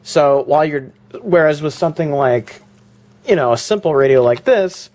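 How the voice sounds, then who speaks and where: A man's voice: on the high side, expressive, moderate pace, a little mellow, no room sound. Casual man showing off his car stereo. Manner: rambling, with fillers and restarts. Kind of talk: casual product walkthrough vlog. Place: inside a parked car; indoors before going to the car.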